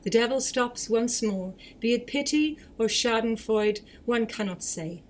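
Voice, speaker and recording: A woman's voice: fairly high pitch, measured pace. A woman narrating a scripted tale for a radio play in a storytelling style. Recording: echoey room, faint noise.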